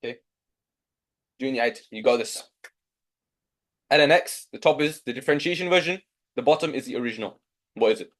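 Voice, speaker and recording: A young man with a Yorkshire accent runs a live online maths session as the tutor. He keeps nudging the class along with encouraging prompts, and his voice sits at an ordinary middle pitch for a man. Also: a little fast, long pauses. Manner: encouraging, coaxing students.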